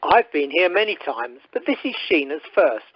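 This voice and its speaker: Brit woman doing a smooth-talking male suitor, lowish lively voice, lo-fi phone sound. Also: normal speed, no room sound, exaggerated voice. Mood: smooth, reassuring. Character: charming, forward male suitor. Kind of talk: amateur radio play acting. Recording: muffled telephone conference line.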